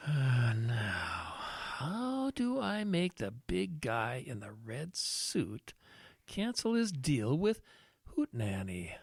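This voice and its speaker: A sly, callous travel agent out of an audio drama. He is theatrical and hugely animated in pitch, with a mid-range voice and a close, dry sound. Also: extremely slow, creaky, pauses often, husky, no room sound, exaggerated voice. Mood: scheming, smug. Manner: theatrical, muttering schemes to himself. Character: scheming greedy male travel agent, smug and callous.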